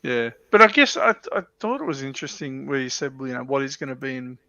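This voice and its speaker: A man's voice: medium pitch, lively intonation, fast, sharp tone, no echo, muffled recording. A man co-hosting a casual hobby livestream, talking hesitantly as if thinking aloud. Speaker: show co-host.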